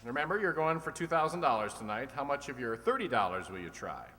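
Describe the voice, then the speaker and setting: A man's voice: medium pitch, sing-song, quick pace, bright, forceful tone. A man playing an old-time radio quiz show host, putting questions to contestants in a reverberant hall. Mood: brisk. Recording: echoey hall, long reverb.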